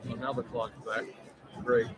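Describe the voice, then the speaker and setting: A man's voice: mid-range voice, little pitch movement, measured pace, noisy background. A man doing live play-by-play commentary for sports radio, speaking into a broadcast microphone from an arena. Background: loud music.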